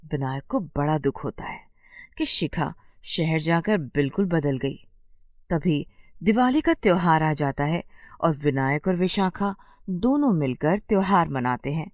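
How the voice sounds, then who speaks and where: A woman's voice: deep voice, darkish tone, no room sound, studio quality. A woman giving scripted storytelling narration as the narrator of a tale.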